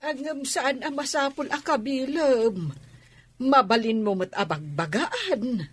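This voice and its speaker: Gentle ailing mother, lively intonation. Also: sits high, takes it slowly, slightly rough, exaggerated voice. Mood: worried, pleading. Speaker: radio drama actor. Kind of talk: Ilocano radio drama acting.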